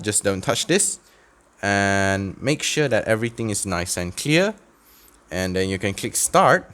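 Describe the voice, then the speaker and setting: A man giving an informal step-by-step software tutorial, somewhat halting and dropping in light asides. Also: fairly low pitch. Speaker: tutorial presenter, church tech volunteer. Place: indoors at a desk.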